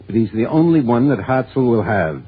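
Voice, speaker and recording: A man's voice: mid-range voice, big pitch swings, a little fast, slightly rough. An American actor playing a crusty, suspicious, opinionated man in a 1950s radio drama, talking in a folksy, emphatic grumble. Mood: suspicious, grumbling. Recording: thin, phone-like old radio recording.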